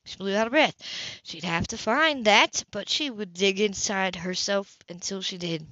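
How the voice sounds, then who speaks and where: An amateur audiobook reader with a bright, mid-pitched voice reads a romance novel aloud. The delivery sometimes stumbles over a word and goes back. The recording is dry and slightly muffled. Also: moderate pace, no echo. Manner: stumbles and rereads words. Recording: dull lo-fi home recording.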